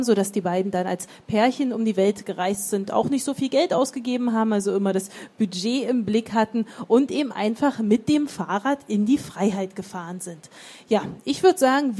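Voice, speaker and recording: Mellow woman presenting at an event. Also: mid-range voice. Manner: upbeat promotional. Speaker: publisher presenter. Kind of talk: event moderation. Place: fair hall stage.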